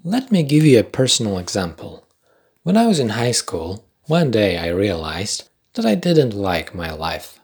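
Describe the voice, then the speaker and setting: A young man from Poland with a Polish accent, hosting a podcast in a measured, scripted reflective style with dry understated humour. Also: on the low side, huge pitch swings, darkish tone, breathy edge. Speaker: podcast host. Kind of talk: scripted reflective narration with commentary.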